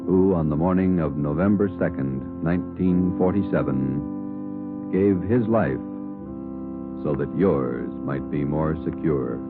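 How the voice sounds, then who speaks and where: An American man announcing in a grave, measured 1940s radio style. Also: very deep voice. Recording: narrow old radio recording, loud music.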